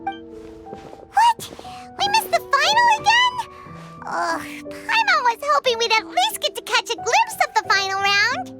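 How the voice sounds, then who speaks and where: A tiny fairy sidekick from a video game, extremely high and childlike. The voice swoops all over the place, chatty and exclamatory, with music playing behind it. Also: female voice, sing-song, takes it slowly, full character voice. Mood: dismayed. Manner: refers to self in third person, exclamatory. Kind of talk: video game character acting.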